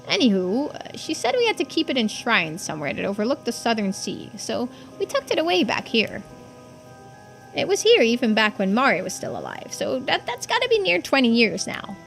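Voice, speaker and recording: A woman's voice: on the high side, wildly swooping pitch, normal speed, gravelly, a bit bright, faint music behind, full character voice. A woman voicing a folksy, earnest islander who explains old secrets in video game dialogue. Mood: casual.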